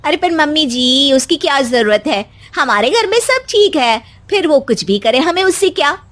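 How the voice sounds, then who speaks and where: Sassy, high-voiced young daughter-in-law, voiced by a woman. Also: expressive, moderate pace, a bit bright. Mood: reasoning. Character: cheeky, outspoken young daughter-in-law, teasing but caring.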